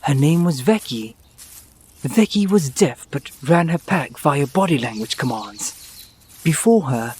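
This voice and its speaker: A man's voice: on the high side, darkish tone, husky. A man playing an earnest, learned wolf who recounts history formally in a scripted fantasy drama.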